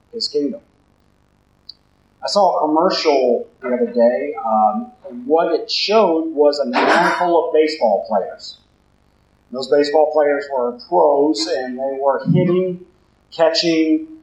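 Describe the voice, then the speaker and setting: A man, a deacon preaching a sermon in a church in a low, conversational way and putting questions to the congregation. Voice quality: deep voice. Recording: roomy, slightly dull church recording.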